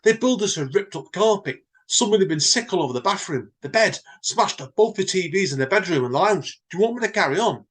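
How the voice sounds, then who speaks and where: Guy putting on a loud indignant company boss for a story, high husky voice, swoops about. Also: expressive, quick pace, hardly any pauses, full character voice. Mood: outraged. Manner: booming, indignant. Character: outraged male managing director, loud and exasperated.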